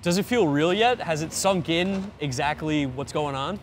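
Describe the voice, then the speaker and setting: A man putting casual questions in a sports interview. His voice is a little high for a man, and there is outdoor noise around him. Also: normal speed. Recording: clear background noise, outdoor ambience.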